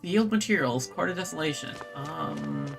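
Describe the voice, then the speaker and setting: A woman's voice: low voice, expressive, moderate pace, vocal fry. A woman streaming a video game, giving casual commentary and reading in-game text aloud. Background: game music.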